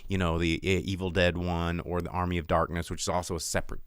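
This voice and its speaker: An American man with a fairly deep, resonant voice hosts a live film show. He chats loosely with viewers and rants with animated conviction, repeating words for emphasis. The sound is dry, with very little room in it. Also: fast talker, smooth, no room sound. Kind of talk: casual livestream chat with viewers.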